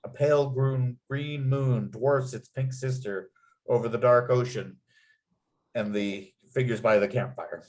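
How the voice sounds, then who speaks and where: Guy reading out screenplay directions, medium pitch, vivid storyteller narration. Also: steady tone, measured pace, a little mellow. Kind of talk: scripted narration at a table read.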